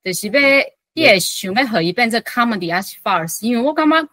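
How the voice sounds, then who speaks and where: A woman's voice: fairly high pitch, lively intonation, fairly quick, fairly bright tone, no echo. A woman politely puts questions as a reading-group member, hesitating and rephrasing as she goes.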